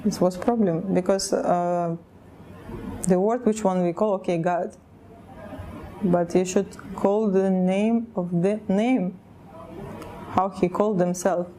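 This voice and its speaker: A woman from Russia with a Russian accent, speaking halting, broken English as she tells her personal story in an interview, often pausing to search for words. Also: mid-range voice, fairly even intonation, mellow, slight background noise.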